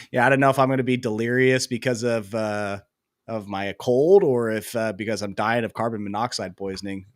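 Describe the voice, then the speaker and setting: A man's voice: lowish voice, steady tone, brisk, no echo, clean studio sound. Stuffy-nosed guy rambling on a podcast. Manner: rambling, self-deprecating, lots of fillers. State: stuffy head cold. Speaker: podcast co-host. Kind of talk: casual sports podcast chat.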